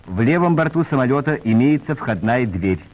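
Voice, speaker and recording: Muffled old 1940s film narrator guy, formal measured announcer reading, medium pitch, lo-fi sound. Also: lively intonation, slight background noise. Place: voice-over recorded for a film.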